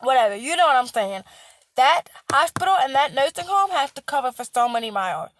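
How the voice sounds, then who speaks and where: A young woman who works in healthcare, hoarse with a cracking voice, lecturing bluntly, repeating herself and swearing for emphasis. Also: high-pitched, very animated, fairly quick, a bit bright, slight background noise. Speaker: healthcare worker. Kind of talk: casual vlog rant.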